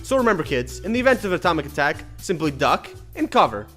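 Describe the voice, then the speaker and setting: A man puts on an earnest, cheerful announcer from a 1950s public safety film. His fairly high voice swings with lively inflection over faint music. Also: quick pace. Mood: earnest, cheery.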